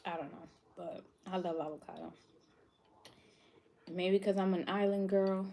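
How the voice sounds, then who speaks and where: A woman's voice: mid-range voice, steady tone, unhurried, dark tone. A woman from New York hosting a live cooking stream, chatting casually with viewers and laughing often. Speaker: cooking show host.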